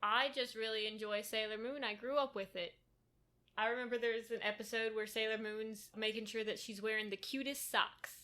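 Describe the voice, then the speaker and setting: A woman with a soft voice co-hosting a casual podcast from a kitchen table at home. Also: a little high, level tone, normal speed. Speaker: podcast co-host. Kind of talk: casual chat.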